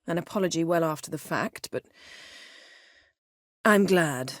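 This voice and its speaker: Commanding, guarded fantasy-game sorceress: lower register, crisp sardonic bite, bone-dry studio sound. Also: female voice, a little slow, no echo. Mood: composed, dry. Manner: crisp, imperious, dryly sardonic. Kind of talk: fantasy video game voice acting.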